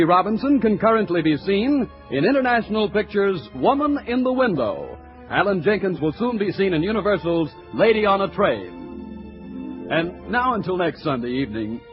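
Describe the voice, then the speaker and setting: A male announcer in classic 1940s radio sponsor style. His voice is high and swings expressively. Music plays behind him on a dull old recording. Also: very animated, normal speed.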